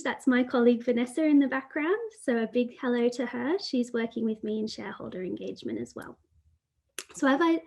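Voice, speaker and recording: Higher-voiced woman hosting a webinar. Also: darkish tone. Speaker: webinar host. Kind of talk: webinar introduction and housekeeping.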